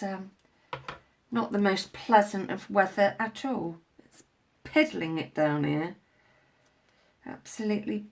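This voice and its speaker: A woman with a head cold, chatting in a rambling way on a live stream from home while crafting, recorded on a tablet. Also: medium pitch. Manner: rambling, chatty, many fillers and restarts. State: congested with a head cold, coughing. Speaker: craft vlogger. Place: at home indoors.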